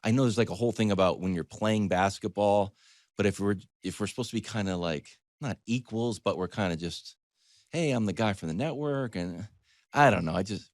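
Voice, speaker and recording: An American man giving conversational sports talk as a commentator, animated, digressive and self-deprecating as he tells stories. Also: low voice, dry recording, clean studio sound.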